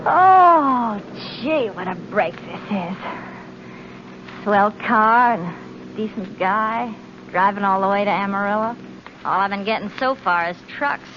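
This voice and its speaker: A sassy wisecracking hitchhiker. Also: female voice, medium pitch, animated, a little slow, a bit bright. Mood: cheerful. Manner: slangy, sassy and blunt. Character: brash young female hitchhiker, wisecracking and streetwise. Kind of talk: 1940s radio drama acting. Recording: muffled 1940s radio broadcast with faint hiss.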